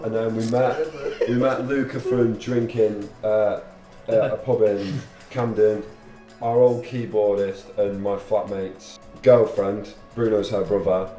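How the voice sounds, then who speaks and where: A man in his twenties from Poland, a band guitarist telling stories in a relaxed, casual interview. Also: on the low side. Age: 20s. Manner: relaxed storytelling, laughs easily. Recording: echoey room with music behind.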